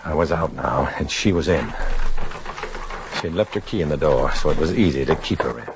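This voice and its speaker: Deep-voiced grim undercover man. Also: animated, moderate pace. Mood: tense, brooding. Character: grim, lonely undercover agent man, terse and hard-boiled. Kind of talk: 1950s radio drama acting, first-person narration. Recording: muffled, noisy 1950s radio recording.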